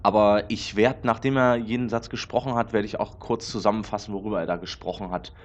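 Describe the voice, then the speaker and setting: A man hosting a let's play in casual, rambling commentary with many filler sounds, his voice slightly hoarse from a cold. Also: on the low side, fairly even intonation, brisk. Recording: dull lo-fi mic with faint noise.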